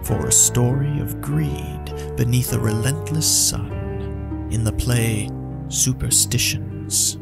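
A man playing an ominous, deliberate horror-show narrator in an audio drama. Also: medium pitch, creaky. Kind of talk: horror anthology radio introduction. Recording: loud piano and guitar music behind.

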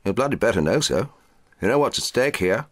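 A man's voice: fairly low pitch, big pitch swings, brisk, a bit bright, dry recording, clean studio sound, voice pushed up. A man playing a brusque army major. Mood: impatient. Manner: curt, barking, pressed.

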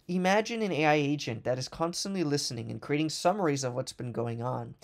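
Man with a gravelly voice walking through software. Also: mid-range voice. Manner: fluent, fast corporate explanation with occasional restarts. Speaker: product manager presenting a software demo. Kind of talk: recorded product walkthrough over slides and screen. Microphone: voice-over on screen recording.

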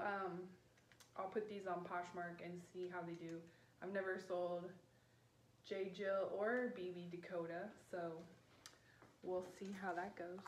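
A woman in her thirties chatting casually in a low-key, offhand way, as if showing finds to viewers at home. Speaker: vlogger and online vintage reseller. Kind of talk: casual haul video chat. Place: small living room at home, indoors. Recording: clear background room noise.

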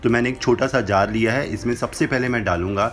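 A man's voice: medium pitch, fast talker, a bit bright. A young man hosting a cooking channel, explaining a recipe step by step and slipping English phrases into his talk. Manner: instructive, mixes English phrases into Hindi. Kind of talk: recipe demonstration voice-over. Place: home kitchen with brick wall.

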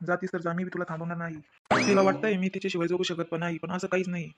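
A man's voice: sits high, little pitch movement, very fast. A man with a rural Maharashtrian accent playing a stubborn, resentful young husband in a family story cartoon. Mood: defiant. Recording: dull, noisy, lo-fi recording.

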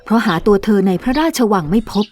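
A woman's voice: medium pitch, fast talker, a little mellow. A woman giving scripted narration of a fairy tale for children, as a storyteller.

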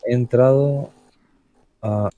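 A man chatting casually with a friend over voice chat while gaming, relaxed and quick to laugh. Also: mid-range voice, dry recording, lo-fi sound.

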